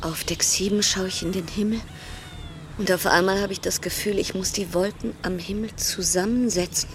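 An actress playing an anxious, restless female cruise passenger in a radio play monologue, brooding in clipped fragments that slide into breathy whispers. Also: mid-range voice, fairly even intonation, normal speed, a little hiss or hum, lightly acted. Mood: agitated, searching.

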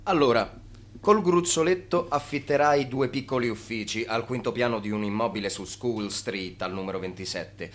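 Man acting radio drama narrator, fairly low voice, knowing, pointed, matter-of-fact. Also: a little hiss or hum, natural voice. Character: knowing narrator laying out the schemer's life. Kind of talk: radio theatre narration in second person.

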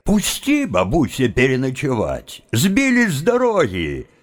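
An actor playing a cheerful, wheedling young seminary philosopher with a drawn-out, folksy way of talking in an audio drama. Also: male voice, mid-range voice, wildly swooping pitch. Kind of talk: audio drama of a classic story.

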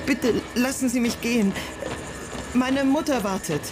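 A woman's voice: on the high side, a little slow, music in the background, close to an everyday voice, low-quality recording. A woman playing a frightened, polite young child in a radio drama, timid, stammering and pleading. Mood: terrified pleading.

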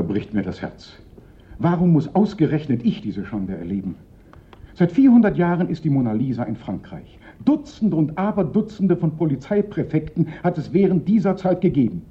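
A man's voice: medium pitch, big pitch swings, normal speed, close to an everyday voice. A man playing a theatrical, whining police prefect. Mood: self-pitying lament. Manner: theatrical, indignant, lamenting. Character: pompous, self-pitying police prefect, wounded and whining. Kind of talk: German radio drama acting. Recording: dull, lo-fi old radio recording.

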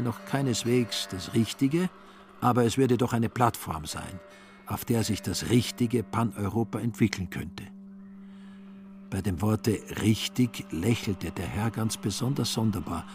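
A man's voice: on the low side, unhurried, gravelly, music in the background. A man narrating a literary radio play in a scripted, dryly ironic storytelling manner.

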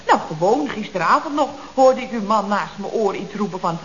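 Woman acting as mock-innocent secretary, Dutch accent, very lively intonation, chirpy, 1970s radio comedy, dull old recording. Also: mid-range voice, moderate pace, a little hiss or hum, close to an everyday voice. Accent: Dutch from the Netherlands. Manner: chirpy, expressive, chatty with frequent tag questions. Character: chatty, mock-innocent female office secretary at a building firm.

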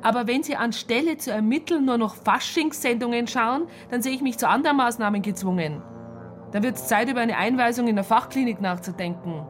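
An actress playing a stern, sharp-tongued police superior in a radio drama, with a formal, cutting manner. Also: female voice, a little high, brisk, close to an everyday voice. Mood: coldly threatening.

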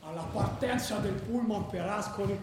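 An actor playing a brash, combative football hooligan in a radio drama, speaking in a coarse, emphatic way. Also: male voice, sits high, normal speed. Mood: scornful. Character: brash, combative male football ultra recounting feuds. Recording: echoey, distant, noisy with crowd sounds.

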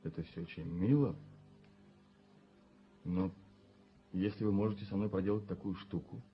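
An actor playing a blunt young gentleman doctor in a radio play, curt and sardonic. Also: low-pitched, fairly even intonation, normal speed, natural voice. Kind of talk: Soviet radio play acting. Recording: muffled 1970s radio drama recording.

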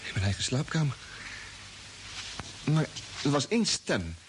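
An actor playing a dazed, guileless crewman, halting and bewildered, in an old radio play. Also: medium pitch, very animated. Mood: dazed, recalling. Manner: halting, bewildered, repeating words. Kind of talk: mid-20th-century Dutch radio play acting. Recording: hissy old radio drama recording.

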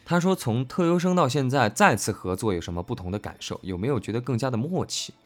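A man's voice: mid-range voice, expressive, quick pace. A man speaking as a voice actor in an informal, unscripted interview, thinking aloud and often repeating phrases. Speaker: voice actor, reading questions and answering. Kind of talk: casual, unscripted interview chat.

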